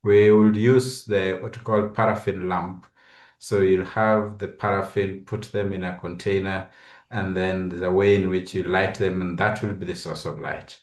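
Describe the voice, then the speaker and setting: A man's voice: deep voice, little pitch movement, moderate pace. A man with a Kenyan accent giving personal, explanatory answers as an interview guest. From western Kenya. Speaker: guest, physicist and university lecturer.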